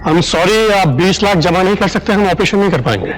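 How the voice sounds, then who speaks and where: An actor playing a formal hospital staffer in a Hindi audio drama, polite but unyielding. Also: male voice, high voice, a little fast, soft background music, poor audio. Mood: formal, insistent. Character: formal, insistent hospital staffer demanding payment.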